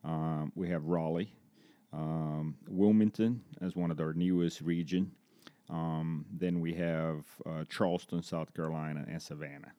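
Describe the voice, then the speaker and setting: A man's voice: very deep voice, steady tone, a little slow, no echo, highs cut off. A man in his forties from Brazil with a Brazilian accent, a native Portuguese speaker, answering interview questions conversationally as a guest. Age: 40s. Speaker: interview guest, corporate safety executive.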